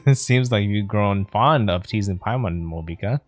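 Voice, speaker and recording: A woman's voice: rumbling low, lively intonation, normal speed, a little mellow, soft background music. A woman streaming a video game, giving casual commentary and reading some dialogue aloud.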